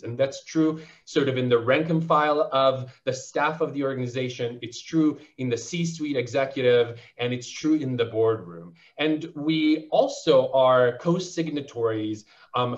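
A man answering a panel question over a video call, his voice at an ordinary middle pitch. He speaks articulately but keeps restarting and filling pauses with um. The audio is somewhat dull and lo-fi. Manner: articulate, fluent with frequent um fillers and restarts. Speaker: panelist, venture investor.

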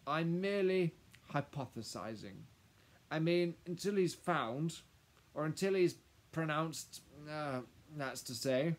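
Man acting a nervous, stammering lawyer, pitched up. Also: big pitch swings, measured pace. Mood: flustered. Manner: stammering, hesitant, officious. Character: nervous, deferential, fussy male lawyer.

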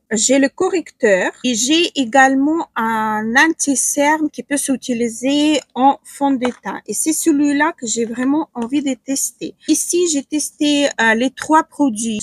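A Ukrainian-accented woman in her forties talks her viewers through a makeup test at home, pausing with fillers as she works. Her voice sits high and a little breathy. The sound is dry and close, with little room echo. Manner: chatty, hesitant with many fillers while applying makeup. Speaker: beauty vlogger. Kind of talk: casual makeup tutorial chat. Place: small room at home, indoors.

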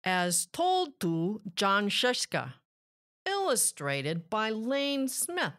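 A woman's voice: mid-range voice, drawn-out words. A woman in her sixties presenting an upbeat picture book read-aloud, speaking directly to children into a close studio microphone. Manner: animated, addressing children directly. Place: small indoor room with bookshelves. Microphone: close boom-arm studio microphone.

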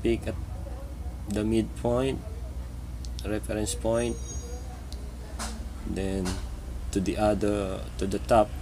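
Slow, low-voiced man giving tutorial steps. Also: flat delivery, background noise. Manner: terse, halting instructions in short phrases. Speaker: software tutorial instructor. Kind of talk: step-by-step screen tutorial narration.

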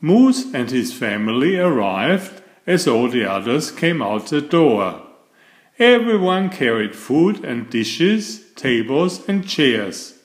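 A man reading a children's picture book aloud as an expressive storyteller with deliberate phrasing. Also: mid-range voice, reverberant. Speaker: storybook reader.

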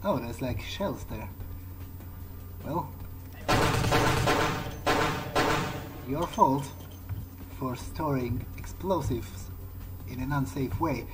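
A man voicing a cocky, gloating vampire as he plays a game, thinking aloud in halting fragments and swearing. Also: mid-range voice, very noisy, lightly acted. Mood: muttering, wary. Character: cocky, gloating male vampire, mercilessly thrilled by power. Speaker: video game player. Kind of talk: in-character roleplay commentary over a game.

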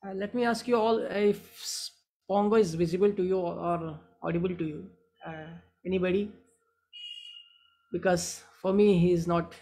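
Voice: mid-range voice, fairly even intonation, moderate pace, dark tone. A host running a live online poetry gathering, speaking politely and hesitantly and often repeating words and phrases. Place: online video call.

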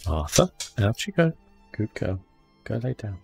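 A man giving relaxed ASMR commentary on a game, understated and hesitant as he thinks aloud close to the microphone. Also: fairly low pitch, unhurried, vocal fry, husky, soft background music. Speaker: gaming video host.

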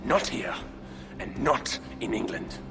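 A haughty Frankish count. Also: male voice, on the low side. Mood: furious. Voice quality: measured, haughty, lively delivery. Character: proud Frankish count, middle-aged, cold and defiant. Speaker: Frankish nobleman. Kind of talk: video game cutscene acting. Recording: game audio with clear ambient noise.

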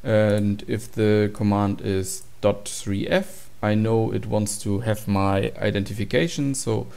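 Guy doing a casual code walkthrough, really slow pace, relaxed dark voice, matter of fact. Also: fairly low pitch, vocal fry. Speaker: tutorial presenter. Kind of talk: informal screen-recorded code walkthrough.

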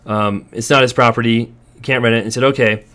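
A man's voice: mid-range voice, steady tone, a little fast, sharp tone. Chatty man narrating a property walkthrough. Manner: chatty, storytelling, occasional laughs. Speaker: real estate investor and landlord. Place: walking through empty warehouses and outdoors.

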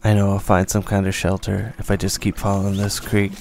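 A man playing a weary, bitter survivor who narrates a post-apocalyptic radio play in a grim, deliberate way. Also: fairly low pitch, completely flat delivery, gravelly, darkish tone, faint music behind.

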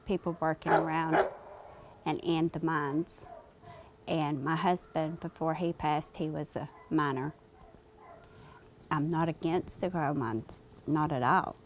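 A woman's voice: low-pitched, a little slow, long pauses, slight background noise. A woman, a local resident, speaking in a documentary interview.

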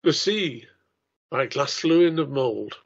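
A man's voice: mid-range voice, lively intonation, slow, vocal fry, slightly rough. Older man, formal delivery, dull lo-fi sound. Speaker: writer and lecturer. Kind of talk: scripted talk and poetry recital.

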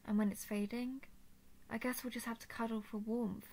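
A woman performs an obsessive female dragon who has taken her love captive. Her high voice is breathy and creaky, and she speaks slowly. She repeats herself pleadingly, unstable between tenderness and desperation, as in an intimate roleplay audio drama. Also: fairly bright tone, full character voice. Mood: possessive, cooing. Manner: pleading, repetitive, swinging between tender and frantic.

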